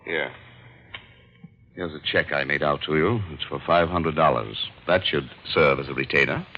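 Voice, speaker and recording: An actor playing a rich, fussy, self-important art collector and unhappy husband in an old-time radio detective drama, with a pompous, fluttery manner. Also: male voice, a bass voice, normal speed. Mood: fussy, agitated. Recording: dull, lightly noisy old radio recording.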